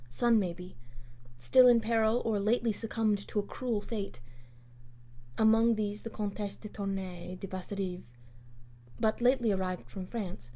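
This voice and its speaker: A woman's voice: medium pitch, dark tone. A woman giving a scripted literary narration as an amateur audiobook reader.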